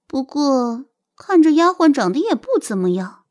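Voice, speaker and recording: An actress playing a coquettish, scheming young concubine in an audio drama, with a simpering, wheedling manner. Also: female voice, high-pitched, big pitch swings, moderate pace, darkish tone. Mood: sweetly catty. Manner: simpering, affected, sweetly wheedling. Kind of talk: Chinese audio drama acting. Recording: music bed behind the voice.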